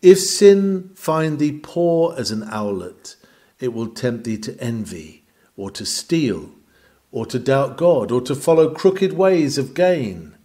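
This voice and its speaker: Mellow-voiced man reading an old sermon. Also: mid-range voice, expressive, slow. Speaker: reader of a historical sermon. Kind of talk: dramatic sermon reading of a Victorian text.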